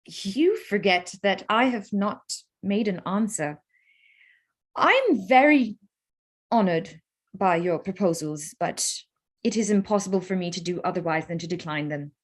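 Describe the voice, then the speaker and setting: A woman performs a spirited young gentlewoman in a period drama reading. Her medium-pitched voice is polite and formal, with frank, firm self-possession. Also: lively intonation, a little slow. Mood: uncomfortable, polite.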